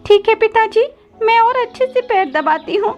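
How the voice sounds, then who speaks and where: A woman's voice: very high-pitched, expressive, normal speed, a little mellow, pitched above the performer's own voice. A woman voicing a timid, tearful little girl bird, meek and pleading, in a cartoon moral story. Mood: meek obedient. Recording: slightly dull audio with background music.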